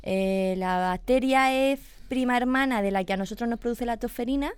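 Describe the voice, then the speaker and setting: A woman's voice: on the high side, a little fast, clear, resonant tone, no echo. A woman, a veterinarian explaining pet illnesses patiently on a radio chat, checking in with her listener as she goes. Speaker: veterinarian guest.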